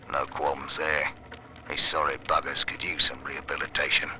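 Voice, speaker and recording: An actor playing a cynical, self-serving prison boss, wry and callous, in a video game audio diary. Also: male voice, a bass voice, little pitch movement, moderate pace, background noise. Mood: smug, wry.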